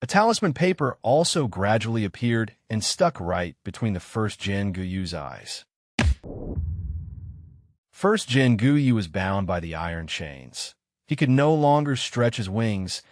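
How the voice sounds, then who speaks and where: A man narrating a fantasy novel in a steady, dramatic reading as audiobook narrator. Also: lowish voice, unhurried, no room sound, studio quality.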